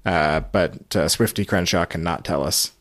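Low-voiced American man hosting a podcast. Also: normal speed, a bit bright, dry recording, studio quality. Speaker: podcast host. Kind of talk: casual recap chat.

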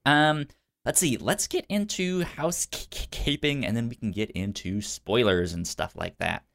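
A man co-hosting a casual film review podcast, speaking informally and haltingly, with a frequent stutter that repeats sounds and syllables. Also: mid-range voice, gravelly, fairly bright tone. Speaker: podcast co-host.

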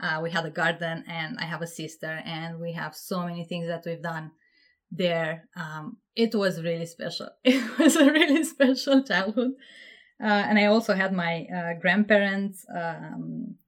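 A woman's voice: on the low side, unhurried. A woman from Romania, talking casually as a podcast guest, laughing often and restarting her sentences with fillers. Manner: warm and giggly, with frequent fillers and restarts. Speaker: podcast guest, project management officer.

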